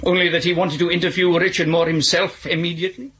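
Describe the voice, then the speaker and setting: A man's voice: sits high, level tone, fast, fairly bright tone. A man playing a smug, precise government expert in a 1970s radio drama, speaking formally and pedantically. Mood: precise, grave. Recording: dull lo-fi 1970s radio recording.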